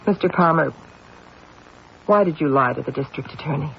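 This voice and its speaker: An actor playing a skeptical, businesslike police detective with pointed questioning, in an old-time radio drama style. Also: male voice, fairly high pitch, normal speed, vocal fry. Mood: probing, cool. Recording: muffled old radio recording, faint noise.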